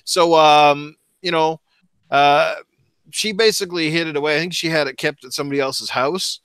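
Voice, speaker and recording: A man in his forties, a podcast co-host, telling a story in loose, rambling chat. His voice sits a little high, and it sounds dry and close. He keeps tossing in "right?" and "you know". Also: normal speed, fairly bright tone, smooth, no echo. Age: 40s.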